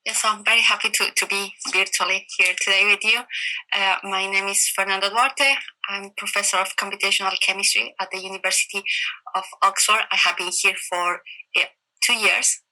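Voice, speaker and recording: A woman with a Chilean Spanish accent, a professor explaining her research in an interview over a video call, phrasing her answers in a halting, reflective way. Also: medium pitch, steady tone, pushed and bright, rough recording. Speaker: interviewed professor of computational chemistry.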